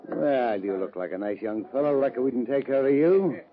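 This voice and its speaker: An actor playing a domineering, greedy cattle baron in a radio western, with a folksy, threatening American Western drawl. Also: male voice, medium pitch, animated, brisk, close to an everyday voice. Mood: smug. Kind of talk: old-time radio western acting. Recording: dull vintage radio recording.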